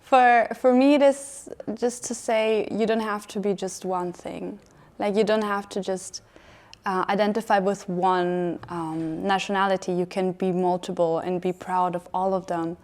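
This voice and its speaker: A young woman from Austria, a talk show guest who tells personal stories in an animated way. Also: medium pitch, a little slow. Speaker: panel guest. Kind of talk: studio panel talk.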